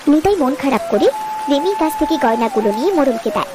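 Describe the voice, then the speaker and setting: A woman's voice: sits high, expressive, fast. A woman narrating a scripted village moral story for a cartoon.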